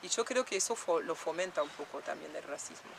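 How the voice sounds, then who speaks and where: A woman's voice: low voice, animated, a little fast, vocal fry, a bit bright, slight background noise. A woman from France in an interview, speaking reflectively with many fillers and restarts. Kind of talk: documentary interview.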